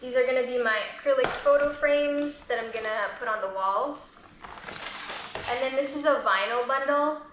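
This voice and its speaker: A woman in her twenties from the Philippines, vlogging casually as an artist in her studio, chatty and relaxed with lots of "like" fillers. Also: high voice, moderate pace, slight background noise. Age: 20s. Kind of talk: casual vlog chat. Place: art studio. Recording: echoey room with faint music.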